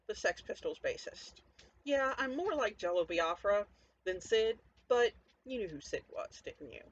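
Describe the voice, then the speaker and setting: A hard-bitten, sardonic survivor of a zombie apocalypse as a story narrator plays the part tells their tale directly to the listener. The delivery is conversational, cynical and defiant, in a middling pitch. The recording is muffled and lo-fi, with faint noise behind the voice. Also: lightly acted. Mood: cocky, defiant. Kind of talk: first-person dramatic story narration. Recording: dull lo-fi audio, faint background noise.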